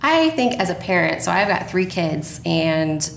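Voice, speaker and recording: Low, creaky woman in an echoey room. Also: big pitch swings, unhurried. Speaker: radio drama producer, interview guest. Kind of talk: explanatory podcast conversation. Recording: roomy, echoey, faint background noise.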